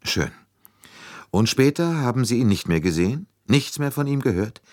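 A man's voice: medium pitch, lively intonation, moderate pace, darkish tone, dry recording, clean studio sound, voice pushed up, natural voice. A man voicing a composed, methodical detective who questions courteously and precisely in an audiobook. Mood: probing. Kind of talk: audiobook character dialogue.

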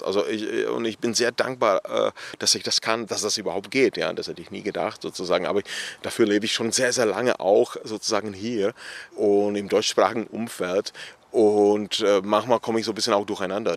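Gravelly Czech-accented man, thoughtful. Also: lowish voice, fairly bright tone. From Czech Republic. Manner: thoughtful, hesitant, many fillers. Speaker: author. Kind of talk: relaxed reflective interview talk. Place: outdoors on a cemetery bench.

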